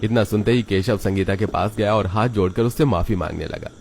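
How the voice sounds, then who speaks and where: Low-pitched male children's story narrator. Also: brisk, darkish tone, a little hiss or hum.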